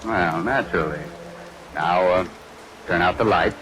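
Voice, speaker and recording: A man's voice: sits low, a little slow, fairly bright tone. A man playing a gleefully macabre 1940s radio horror host, mock-courteous and teasing. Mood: ghoulish glee. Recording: noisy vintage radio recording, rain behind.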